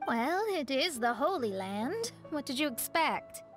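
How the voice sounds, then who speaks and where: A woman's voice: high-pitched, very animated, unhurried, over music. A woman voicing a wry, knowing young woman adventurer in a video game, with a composed, teasing manner. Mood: wry, amused.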